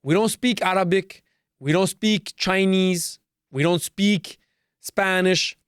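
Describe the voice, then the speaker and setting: A man's voice: high voice, slow pace, smooth, dry recording, studio quality. A man from Canada whose first language is Serbo-Croatian hosts a solo podcast, delivering a profane, repetitive and emphatic rant. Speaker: podcast host.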